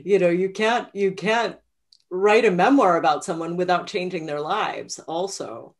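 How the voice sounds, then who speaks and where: A woman's voice: fairly low pitch, measured pace. A woman in middle adulthood talking conversationally and thoughtfully as a guest author on a video call. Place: home room with bookshelves, indoors. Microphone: video call computer microphone.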